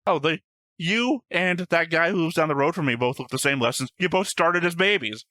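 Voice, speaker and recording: A man's voice: fairly high pitch, expressive, brisk, bright, forceful tone, no room sound. A man co-hosting a reaction show, casually teasing and mocking his friend while they argue. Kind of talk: casual banter reacting to anime.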